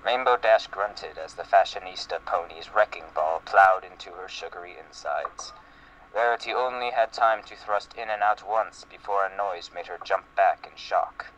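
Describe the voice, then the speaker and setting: Lowish male amateur story reader. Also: normal speed, fairly bright tone. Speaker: reader in a group dramatic reading. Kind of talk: amateur dramatic reading of fan fiction. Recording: faintly noisy home voice-chat recording.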